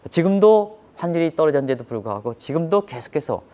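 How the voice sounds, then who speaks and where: A man's voice: on the high side, very animated, a little fast, mellow. A man giving live economic commentary on a broadcast in an emphatic, explanatory manner, posing rhetorical questions.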